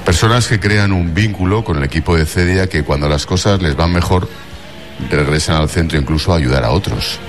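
A man speaks as an interviewer and reporter in a low, deep voice. Music plays clearly behind him. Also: brisk. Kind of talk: interview questions and reportage narration.

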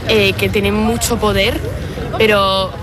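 Young woman chatting over a loud street crowd. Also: medium pitch, little pitch movement, slow, heavy background noise. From France. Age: young adult. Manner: fluent, chatty, casual fillers. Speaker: university student. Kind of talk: street interview answer. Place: outdoors on a city street.

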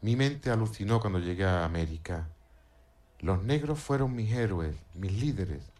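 Deep-voiced male radio presenter. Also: animated, moderate pace, a little mellow. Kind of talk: radio commentary translating song lyrics.

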